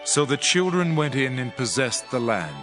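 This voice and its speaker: A man playing a solemn worshipper recounting history in prayer, in a formal, measured dramatized scripture reading. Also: medium pitch, sing-song, close to an everyday voice. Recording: studio reading under prominent music.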